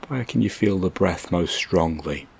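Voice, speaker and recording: A man leading a guided meditation in a gentle, calm, measured way, leaving long pauses between short instructions. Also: deep voice, vocal fry, breathy edge.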